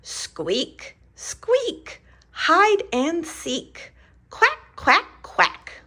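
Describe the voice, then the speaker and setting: A woman voicing a cheerful little duckling from a picture book, reading slowly in a sing-song way and squealing high on the quacks. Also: huge pitch swings, pushed and bright, exaggerated voice. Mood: playful.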